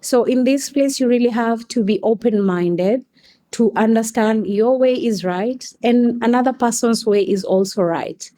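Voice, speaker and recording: A woman's voice: a little high, measured pace, mellow. A woman from Kenya with a Kenyan accent, explaining things articulately in a conversational interview as a guest. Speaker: podcast guest, coffee company staff member.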